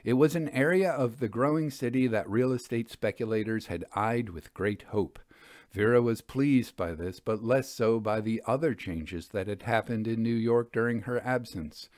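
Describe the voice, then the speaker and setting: A man's voice: mid-range voice, normal speed, darkish tone, dry recording, clean studio sound. A man reading scripted first-person literary narration as a storyteller.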